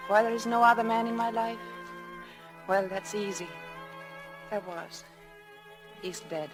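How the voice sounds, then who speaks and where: A woman's voice: mid-range voice, measured pace, lightly acted. An actress in a 1940s radio drama playing a tender, secretive woman in anguished love, earnest and romantic. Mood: happy, tender. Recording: old radio broadcast with music behind.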